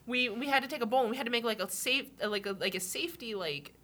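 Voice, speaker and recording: Bright-voiced woman in her twenties. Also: on the high side, fast talker. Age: 20s. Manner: animated storyteller, laughs while talking. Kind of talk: casual group chat.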